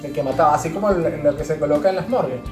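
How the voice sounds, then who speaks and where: A woman's voice: sits low, rapid-fire, roomy sound, music in the background. A woman with a Venezuelan accent chatting casually as a podcast co-host, explaining things through examples.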